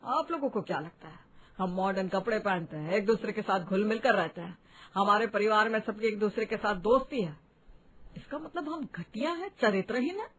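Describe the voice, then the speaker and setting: A woman's voice: mid-range voice, lively intonation, fairly quick, slightly rough, poor audio. A woman voicing an outspoken, modern-minded family member in a cartoon drama, indignant, challenging and scolding. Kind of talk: animated family drama voice acting in Hindi.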